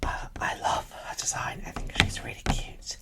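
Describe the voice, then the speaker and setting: An ASMR host speaking in a breathy whisper, giving casual unboxing commentary slowly and softly. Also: distant mic. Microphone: microphone set off to one side.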